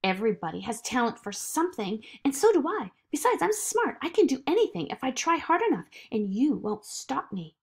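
A woman voicing an excitable, defiant little girl with big dreams in an animated picture-book read-aloud, eager and emphatic. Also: high-pitched, a little fast, hardly any pauses, slightly rough, voice pushed up, exaggerated voice. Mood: proud, determined.

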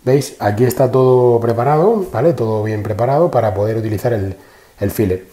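Male host, mellow low voice, echoey garage phone audio. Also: brisk. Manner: chatty, repeats himself, frequent fillers. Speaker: car channel host. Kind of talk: casual how-to explanation. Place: indoor garage. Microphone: phone held in one hand.

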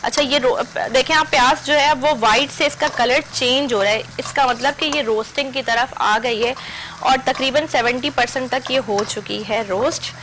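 A young woman with a slightly high voice teaches a recipe step by step on a TV cooking show. She speaks to viewers directly and instructively from a studio kitchen set. Her broadcast recording has steady noise and a sizzling pan behind her. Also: normal speed, low-quality recording. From Pakistan. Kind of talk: TV cooking demonstration.

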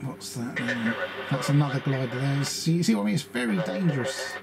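A man's voice: mid-range voice, lively intonation, normal speed, gravelly. A man giving casual, grumbling and opinionated running commentary as an aviation hobbyist. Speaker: aviation hobbyist narrating radio scanner and flight tracker. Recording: radio and ambient noise behind voice.

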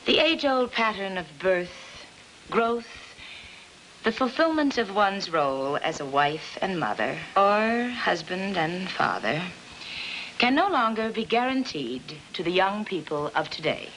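A woman's voice: medium pitch, very animated, measured pace, fairly bright tone, a little hiss or hum, poor audio. An actress playing an earnest, self-important middle-aged mother with a grand declamatory manner, in 1950s film style. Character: earnest, self-important suburban mother rehearsing her speeches. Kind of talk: 1950s American film acting. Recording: 1950s film soundtrack with music behind.

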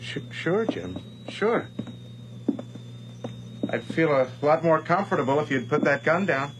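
An actor playing an outwardly reasonable, evasive friend in a radio horror drama, hesitant and soothing. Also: male voice, fairly high pitch, lively intonation, unhurried, noisy background. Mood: alarmed.

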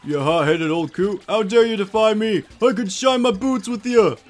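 A man voicing a cocky, blustering video game villain in a loud, bombastic, taunting way. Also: sits high, moderate pace, voice pushed up, lightly acted. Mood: scornful. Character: blustering, cocky male cartoon villain henchman. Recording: game music behind.

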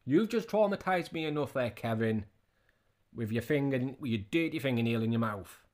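A man's voice: medium pitch, lively intonation, normal speed, a little mellow. A man in his thirties giving casual, scornful reaction commentary, often repeating his questions. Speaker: reaction video host.

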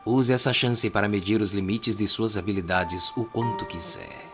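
Anime dub role: man plays sly, condescending Brazilian male agent, lowish voice, measured and mocking, music behind. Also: natural voice. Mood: calm, condescending. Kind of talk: dramatic anime fan dub.

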